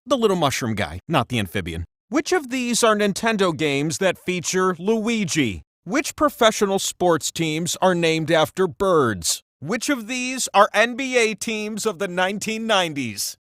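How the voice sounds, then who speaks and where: Boastful talking wheel, male game-show host, high voice, wildly animated pitch, theatrical, playful, self-important, video game. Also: no echo, studio quality, full character voice.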